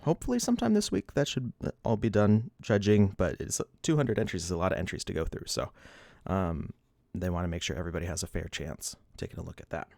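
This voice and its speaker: A man's voice: low voice, lively intonation, fast, gravelly, darkish tone, no echo, clean studio sound. A man in his thirties hosting a casual livestream, rambling, laughing often and a little sniffly. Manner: rambling, laughs often, restarts, reads chat. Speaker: livestream host.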